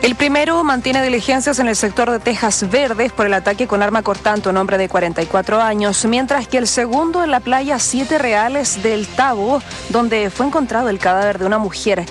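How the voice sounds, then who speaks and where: Fairly high-voiced Chilean female news anchor. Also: moderate pace, few pauses, faint music behind. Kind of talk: scripted news reading.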